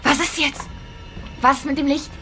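A woman's voice: high-pitched, steady tone, moderate pace, loud music behind the voice, lightly acted. An actress playing an uneasy, empathic woman in a science-fiction radio drama, earnest and intimate in manner. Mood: anxious. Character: uneasy, empathic woman named Ara in futuristic city.

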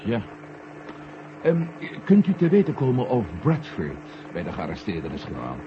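Thoughtful sleuth, muffled noisy recording. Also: male voice, medium pitch, wildly swooping pitch, normal speed. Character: male amateur sleuth, keen, thoughtful, respectful. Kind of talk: 1970 Dutch radio drama acting.

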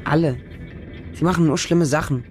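A man's voice: fairly high pitch, steady tone, normal speed, natural voice. Radio drama: male actor as homeless street kid, frightened yet devoted, childlike simple phrasing, music bed underneath. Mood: shocked, bitter. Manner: short, simple, childlike sentences. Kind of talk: first-person radio drama monologue.

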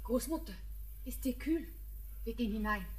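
Young granddaughter, tender and wistful, very high and husky, in lyrical radio drama. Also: female voice, animated, normal speed. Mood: gentle. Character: tender, dreamy young granddaughter in a mining village. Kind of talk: poetic German radio play acting.

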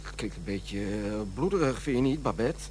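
An actor playing a desperate, self-deluding middle-aged smoker in a radio drama, talking in an earnest, halting, theatrical way. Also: male voice, fairly low pitch, expressive, a little mellow, slight background noise, close to an everyday voice. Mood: hesitant.

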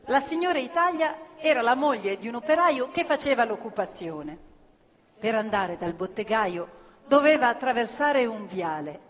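A woman telling a story on stage, earnest and measured. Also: fairly high pitch, expressive. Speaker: stage actress narrator. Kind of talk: theatrical storytelling. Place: theatre stage. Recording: live theatre with long reverb. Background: live violin and piano.

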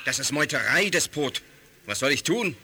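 A man playing a stern, indignant alien official in a radio play, with a harsh, rough voice. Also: on the high side, big pitch swings, moderate pace, pushed and bright, full character voice. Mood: indignant, alarmed. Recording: long hall reverb.

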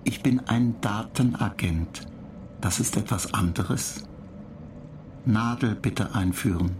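A man playing a computer data agent in a radio play, matter-of-fact, clinical and measured, calmly pedantic and dryly superior. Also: fairly low pitch. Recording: clear noise bed behind the voice.

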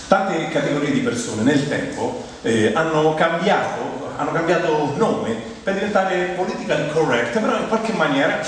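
A man with a Roman accent performing a comic theatrical monologue in a wry, ironic, conversational way, with mock-solemn turns. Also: on the high side. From Rome, Italy. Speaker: stage monologuist. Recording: very echoey hall, distant mic, clear noise.

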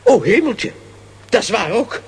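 A man's voice: sits high, big pitch swings, measured pace, vocal fry, heavy background noise, exaggerated voice. A man acting an absent-minded, fussy professor in a children's radio play, hesitant and forgetful. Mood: flustered.

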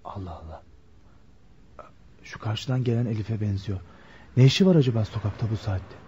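A man's voice: fairly low pitch, normal speed, warm tone, a little hiss or hum, close to an everyday voice. A man acting a sincere, impulsive, lovestruck young working-class man in a Turkish radio drama. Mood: brooding. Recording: muffled lo-fi radio recording, music behind.

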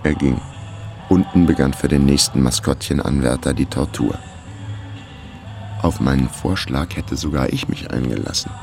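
A wry, laconic detective narrates a radio crime story. His voice is extremely low and gravelly, with a dry delivery. Music runs underneath. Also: normal speed, a little mellow. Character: wry male amateur detective narrating his own case. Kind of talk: scripted first-person crime radio drama narration.

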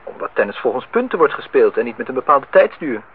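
A man's voice: mid-range voice, big pitch swings, quick pace, husky. An actor playing a middle-aged, well-off man in a radio play, nostalgic and dryly teasing in formal speech. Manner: formal address, dry teasing. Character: older well-off man, nostalgic, needling, courting host. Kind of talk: Dutch radio play acting. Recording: muffled, phone-like old radio recording.

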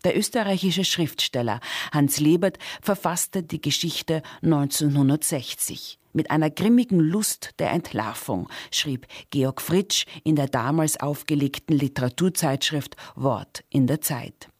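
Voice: mid-range voice, a little slow, dry recording, studio quality. A presenter reading a scripted introduction to a literary radio play. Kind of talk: scripted narration.

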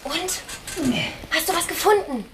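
An actress playing a feisty, defiant young woman in a noir radio drama, sharp and indignant in her talk. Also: very high-pitched, lively intonation, moderate pace, very noisy.

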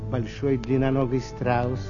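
A man narrating a children's fairy tale, with expressive, deliberate phrasing and pauses. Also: medium pitch, highs cut off, music in the background. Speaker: storyteller.

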